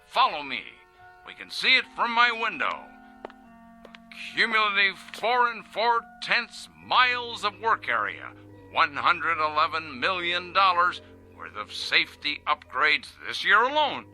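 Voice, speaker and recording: Radio drama role: smug corporate chief, very forceful and bright tone, higher-pitched, showman's pomp, sells automation to shareholders. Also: big pitch swings, soft background music, heavily acted, poor audio. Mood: showy. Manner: pompous, showy salesman's delivery with grand pauses. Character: pompous, self-satisfied male factory boss, zealous for automation. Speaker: company head addressing shareholders. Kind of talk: radio drama acting as a corporate video presentation.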